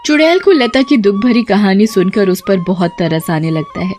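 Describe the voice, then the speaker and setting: A woman's voice: mid-range voice, normal speed, a little mellow, soft background music. A woman narrating a scripted tale as a storyteller.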